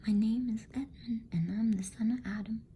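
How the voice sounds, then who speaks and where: A woman's voice: fairly high pitch, fairly even intonation, moderate pace, mellow, a little hiss or hum, pitched above the performer's own voice, close to an everyday voice. A woman voicing a frightened, polite young boy in a bedtime storybook, trembling as she whispers. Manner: trembling, stammering.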